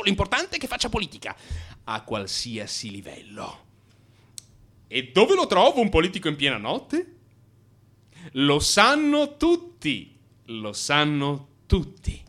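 A man's voice: mid-range voice, bright, forceful tone. An actor playing an agitated, frustrated man confessing to his analyst in a theatrical radio monologue, moving between muttering and outbursts. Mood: excited, scheming.

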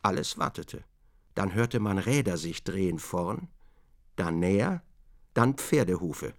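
A man's voice: deep voice, lively intonation, unhurried. A man narrating a literary radio drama from a script.

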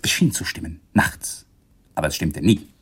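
An actor playing a bitter, weary husband who wants a divorce, with a cold, contemptuous manner, in a German radio play. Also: male voice, deep voice, sing-song, moderate pace, fairly bright tone, husky, heavily acted. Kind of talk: 1980s German radio drama acting.

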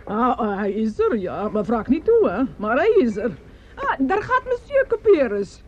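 An actress playing a flamboyant, mocking and flirtatious opera singer in a radio play, teasing and exclamatory. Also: female voice, fairly high pitch, sing-song, moderate pace, a little mellow, lo-fi sound, slight background noise. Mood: scornful, mocking.

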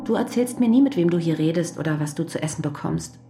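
A woman's voice: sits low, expressive, quick pace, over music. An actress playing a worried, devoted wife who visits her husband in prison and presses him with earnest questions, in a radio drama. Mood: concerned.

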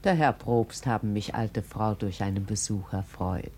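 An actress in a 1950 radio play, playing a gentle, gracious farm matriarch in her seventies. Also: extremely low pitch, normal speed, husky. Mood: gentle, grateful. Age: 70s.

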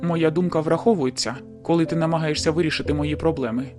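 A man's voice: on the high side, fairly even intonation, quick pace, music in the background, lightly acted. A man voicing a hurt, bitter young man in an audiobook, who speaks bluntly and wearily. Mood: pleading. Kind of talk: audiobook dialogue.

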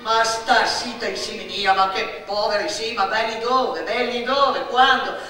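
A woman voicing an excitable gossiping village woman, indignant and emphatic, in a theatrical monologue. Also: a little high, a little slow, soft background music. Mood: indignant, alarmed. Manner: indignant, emphatic rhetorical questions and lists. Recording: echoey, distant stage sound.

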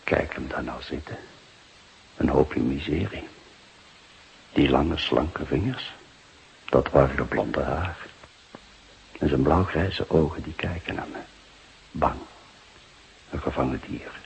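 An actor playing a sceptical, patient older police detective in a radio drama, with a measured, musing delivery. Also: male voice, a bass voice, vocal fry, exaggerated voice. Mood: brooding. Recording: noisy radio drama recording.

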